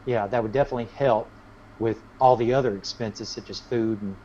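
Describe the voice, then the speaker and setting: A man's voice: mid-range voice, steady tone, fairly quick, warm tone, slight background noise. Chatty man with dull, lo-fi sound. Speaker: musician guest. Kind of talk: casual interview chat, anecdotes. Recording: dull, lo-fi audio.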